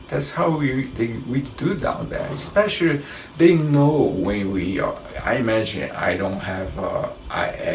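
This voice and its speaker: A man's voice: fairly low pitch, creaky, slight background noise. A middle-aged man with a Brazilian Portuguese accent, giving rambling travel tips in a casual monologue and often restarting his sentences. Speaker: travel vlogger. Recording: roomy, distant mic with faint ambience.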